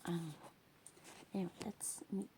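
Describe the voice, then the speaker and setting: A woman with English as a second language, whispering softly in a rambling ASMR show-and-tell full of fillers. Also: on the low side, steady tone, normal speed, background noise. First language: not English. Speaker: ASMR creator.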